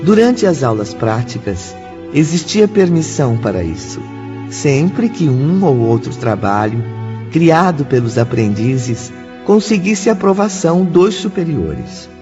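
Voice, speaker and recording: A man's voice: fairly high pitch, sing-song, a little slow, lo-fi sound, over music. A man narrating a radio drama from a script in a solemn, formal, emphatic manner.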